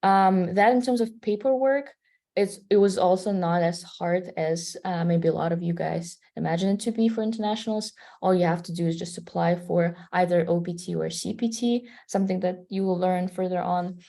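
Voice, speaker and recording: A young woman in her twenties from Kazakhstan, answering questions as a student panelist in a relaxed, conversational way. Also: fairly low pitch, normal speed, gravelly. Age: 20s. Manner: conversational, thinking aloud between phrases.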